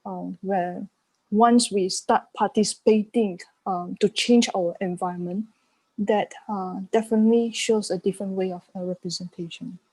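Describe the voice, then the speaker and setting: A woman's voice: mid-range voice, steady tone, normal speed, darkish tone, no room sound, poor audio. A woman giving an unscripted answer in an art discussion, hesitant and often searching for her words. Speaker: panel speaker on art.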